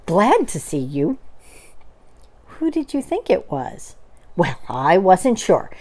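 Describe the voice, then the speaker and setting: A woman's voice: on the low side, wildly swooping pitch, slow, darkish tone, heavily acted. A woman voicing a fussy, cautious male rabbit in a prim, careful storybook voice. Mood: polite.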